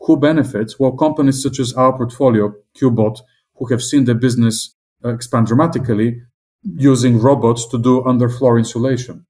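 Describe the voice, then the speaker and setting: A middle-aged man from Southern Africa with a foreign accent speaks at a middle pitch. He answers as an investment executive, measured and upbeat, pausing on fillers and small restarts. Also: steady tone, a little mellow. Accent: non-British English accent. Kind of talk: business interview answers.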